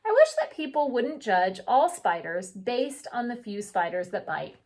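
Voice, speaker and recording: Lady teacher reading a kids book out loud, slow and animated, voice a bit high. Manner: animated, drawn-out storybook delivery. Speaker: teacher reading a picture book aloud for pupils. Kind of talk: expressive children's read-aloud with chatty asides.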